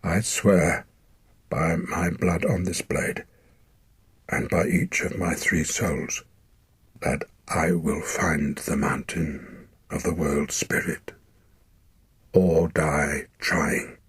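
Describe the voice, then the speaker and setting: A man voicing a frightened young boy who pleads in a high, urgent voice. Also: fairly even intonation, very slow, pauses often, breathy edge, no room sound, heavily acted. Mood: solemn.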